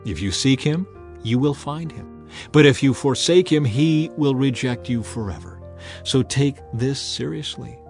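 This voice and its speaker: A man's voice: mid-range voice, measured pace, a little mellow, natural voice. Aging king for audio Bible drama male actor: commanding, earnest oratory, solemn authority, light music bed. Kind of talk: dramatized scripture reading. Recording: music behind the voice.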